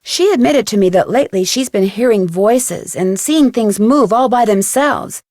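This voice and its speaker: A woman's voice: on the high side, animated, normal speed, darkish tone, no room sound, studio quality, close to an everyday voice. A woman voicing an inquisitive, determined teenage American girl detective in a video game, earnest and conversational. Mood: earnest, intrigued.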